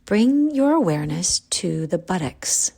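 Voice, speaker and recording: A woman guiding a yoga nidra relaxation, giving calm, gentle, unhurried instructions with pauses. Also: lowish voice, creaky, slightly rough. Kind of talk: guided relaxation meditation.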